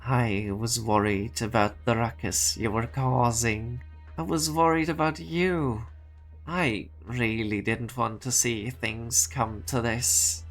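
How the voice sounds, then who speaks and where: A man voicing a calm, manipulative corporate boss in an amateur fan dub, speaking in a measured, coaxing way. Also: mid-range voice, soft background music. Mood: regretful.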